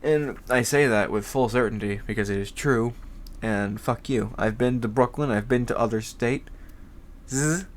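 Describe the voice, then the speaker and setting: A man's voice: mid-range voice, moderate pace. A young man with a congested voice, rambling casually as a podcast host, swearing freely and laughing often.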